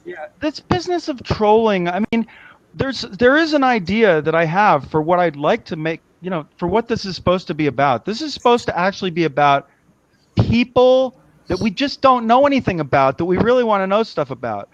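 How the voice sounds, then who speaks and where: A man's voice: high-pitched, lively intonation, a little fast, no room sound, highs cut off. Casual rambling man hosting a live chat. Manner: rambling, restarts and asks many questions. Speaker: host of a live video chat show.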